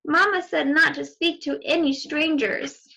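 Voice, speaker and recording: A woman's voice: sits high, steady tone, measured pace, creaky, bright, forceful tone, voice pushed up, heavily acted, poor audio. A woman voicing a sweet, naive, polite little girl in a storybook, earnest and wide-eyed. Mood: sweet, innocent. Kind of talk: storybook character voice.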